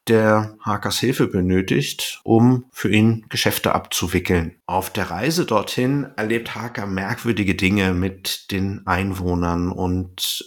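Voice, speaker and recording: A man co-hosting a podcast, chatting casually and retelling a story. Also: on the low side. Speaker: podcast co-host. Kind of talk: casual chat and plot retelling.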